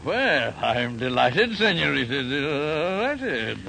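Pompous, gravelly, high-pitched blustering flirt. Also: male voice, sing-song, takes it slowly, exaggerated voice. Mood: oily, flirtatious. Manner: pompous, oily chuckling flirt who blusters. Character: blustery, lecherous, flirtatious male costume judge with hot temper. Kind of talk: 1940s radio sitcom acting. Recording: hissy 1940s radio recording.

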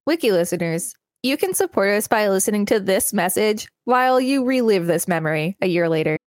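A woman's voice: mid-range voice, expressive, fairly quick, dry recording, studio quality. A woman hosting a show and reading an encyclopedia-style article aloud. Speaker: podcast host and reader. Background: background music.

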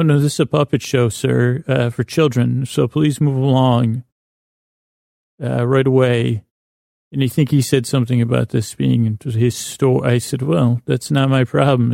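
A man hosting a bedtime podcast with a rambling, absurd comic monologue, halting and often losing his thread, his words a bit slurred and mumbled. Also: medium pitch, gravelly, mellow, no echo, studio quality.

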